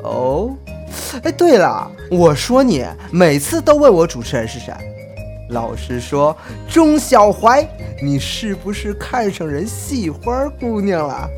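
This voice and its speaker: A woman's voice: on the low side, sing-song, takes it slowly, full character voice. A woman playing a chatty, teasing young male college roommate in an audio drama, casual and pushy. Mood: teasing, coaxing. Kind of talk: Chinese online audio drama acting. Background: faint music.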